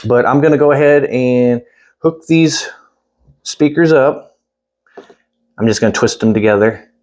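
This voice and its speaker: A man giving a casual, hands-on product demonstration indoors, thinking aloud in a plain-spoken, rambling way. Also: medium pitch. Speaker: product reviewer. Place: indoors at home.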